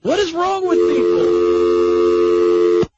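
A man's voice: very high voice, huge pitch swings, drawn-out words. An American man hosting a live radio call-in show in a folksy, exasperated, scolding way. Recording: dull lo-fi radio broadcast.

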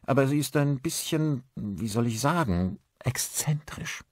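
A man's voice: mid-range voice, expressive, unhurried, no room sound, studio quality, pitched above the performer's own voice. A man voicing an uneasy woman in an audiobook, hesitant and searching for words. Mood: embarrassed.

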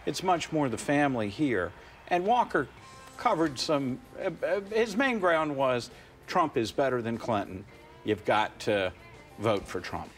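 Measured man analyzing politics on TV. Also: medium pitch, sing-song, soft background music. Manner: measured, explanatory, with frequent fillers and restarts. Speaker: poll director, studio guest analyst. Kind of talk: analytical studio interview. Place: TV studio set, seated on a couch. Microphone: lapel mic.

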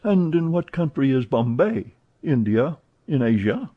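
A man voicing an excitable, chatty young French manservant who talks eagerly and protests often, in a dull lo-fi recording. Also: mid-range voice, pitched above the performer's own voice. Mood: excited. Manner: eager, exclamatory, quick to protest.

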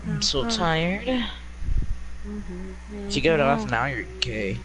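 Young gamer over loud clicking noise. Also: male voice, a little high, sing-song, slow pace. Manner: repeats himself, restless. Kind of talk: casual voice chat.

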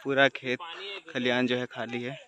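A man's voice: mid-range voice, completely flat delivery, moderate pace, sharp tone, slight background noise. A man in his thirties walking through open village fields at dusk, chatting to viewers on a phone held at arm's length. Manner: chatty, addresses viewers directly. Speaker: vlogger. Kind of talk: casual travel vlog narration.

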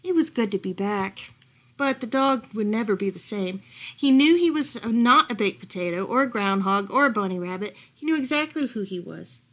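A woman reading a children's picture book aloud at home in an animated storytelling style. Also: mid-range voice, normal speed. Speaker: librarian reading bedtime stories. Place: furnished room at home, indoors.

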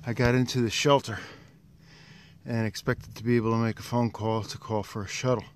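A man's voice: mid-range voice, flat delivery, a little fast. A middle-aged man casually narrating a hiking vlog outdoors into a handheld phone. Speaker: hiker vlogger. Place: outdoors on a wooded trail and dam. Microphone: handheld phone at arm's length. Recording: clear outdoor background noise.

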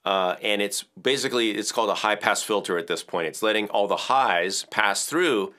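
A man in his fifties with a bass-baritone voice, casually explaining audio equipment in a home studio. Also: normal speed. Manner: relaxed, chatty explaining with gestures and small laughs. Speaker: music educator. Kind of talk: hands-on audio tutorial. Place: home music studio, indoors. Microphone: headphones on, studio mic off camera.

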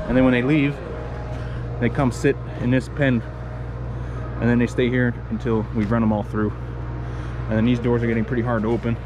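A young man talks in a plain, matter-of-fact way as he walks through his farm chores, his voice neither high nor low. He's recorded outdoors on an action camera, and clear background noise from the yard and machinery sits under his words. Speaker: dairy farmer vlogger. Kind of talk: casual narration while doing chores. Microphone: action camera, sometimes held at arm's length.

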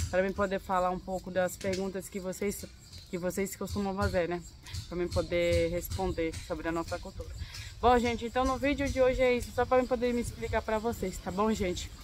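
A hoarse young woman with a cold speaks softly and unhurriedly to her camera from a sunny backyard. She pauses often and keeps tagging her sentences with little questions to her viewers. Faint outdoor noise sits behind her close voice. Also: mid-range voice. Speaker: vlogger. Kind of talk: casual talk to camera. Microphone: phone or camera close up.

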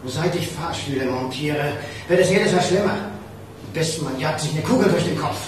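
An actor playing a sardonic, complaining elevator fitter in a German radio crime drama. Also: male voice, on the high side, normal speed, slight background noise, lightly acted. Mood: fed up. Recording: very echoey shaft, distant, faint ambience.

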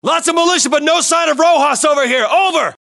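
A man playing a frantic, battle-hardened special forces soldier in a game, barking clipped, urgent callouts in a strained, gravelly shout. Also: very high voice, quick pace, full character voice. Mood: urgent, commanding.

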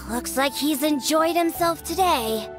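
High-pitched, pert little cat girl. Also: expressive, slow pace, soft background music. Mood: scornful, grumbling. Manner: pert, scolding, childlike. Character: feisty, scornful young cat girl, exasperated daughter. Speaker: game character voice actor. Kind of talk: video game character dialogue.

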